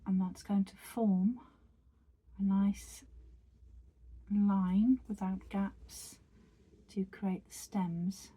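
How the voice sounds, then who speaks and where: A woman who is croaky after a virus, teaching an embroidery craft tutorial in a chatty, meandering way with many fillers and restarts. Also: mid-range voice, level tone, very slow, long pauses, mellow. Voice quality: husky.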